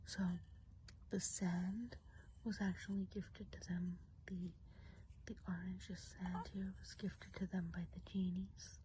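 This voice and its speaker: A woman whispering softly and breathily in an unhurried, reassuring ASMR role play as a kindly woodland witch. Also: lowish voice, level tone, low-quality recording. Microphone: close microphone. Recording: close whisper with audible background hiss.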